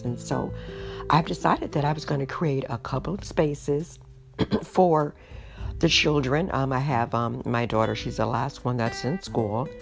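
A middle-aged woman hosting a podcast, hoarse tonight, chatting in an unhurried way with frequent ums. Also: very deep voice. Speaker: podcast host. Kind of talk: casual solo podcast talk. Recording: music playing underneath.

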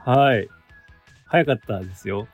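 A man's voice: lowish voice, unhurried, narrow, tinny audio, faint music behind. A man, a guest on a radio talk show, chatting easygoingly and backing the host up. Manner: easygoing, frequent laughs and backchannel. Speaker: guest actor. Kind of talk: casual radio chat.

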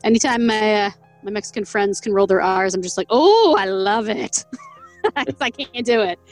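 A woman's voice: medium pitch, expressive, moderate pace, soft background music. A woman co-hosting a podcast, reading from an article in a casual, chatty way, laughing often and stumbling over names. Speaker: podcast co-host.

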